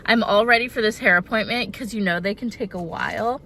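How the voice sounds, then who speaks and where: A woman's voice: medium pitch, fairly quick, sharp tone, slight background noise. Chatty woman in her thirties, slightly stuffy. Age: 30s. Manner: chatty, bubbly, self-deprecating asides. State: slightly congested. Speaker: vlogger. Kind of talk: casual vlog talk to camera. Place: out and about, car and home.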